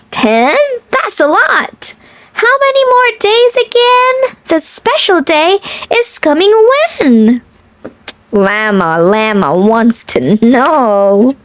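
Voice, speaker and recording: Female storyteller for young kids: very unhurried, sing-song and playful, close dry sound. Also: high voice, no echo. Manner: chuckles often between lines. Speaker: children's read-aloud host. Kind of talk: expressive picture-book read-aloud with chatty asides.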